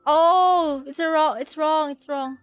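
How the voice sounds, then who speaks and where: Girl streamer w a high voice, giggly n casual, talking thru her game, music behind her. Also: slow pace, no room sound. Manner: giggly, thinking aloud. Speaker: streamer playing a video game.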